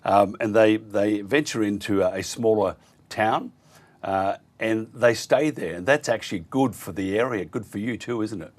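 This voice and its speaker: A man hosts a talk radio show and chats with his guest. His voice is low. He drifts into teasing jokes. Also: moderate pace. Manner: joking, teasing. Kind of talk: radio interview.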